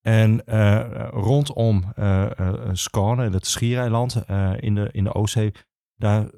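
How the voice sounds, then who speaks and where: A male expert guest with a Netherlandic Dutch accent speaks in a dark, mellow voice on the low side. He explains conversationally, searching for words in a halting way, in a dry-sounding recording. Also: steady tone, vocal fry, no echo. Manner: halting, hesitant phrasing. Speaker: regional archivist, podcast guest.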